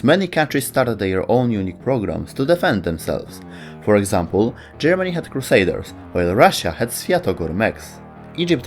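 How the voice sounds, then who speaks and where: A man with a fairly low voice delivers scripted narration for a gaming video. He speaks as the host and narrator, with faint music running underneath. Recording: music behind the voice. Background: background music.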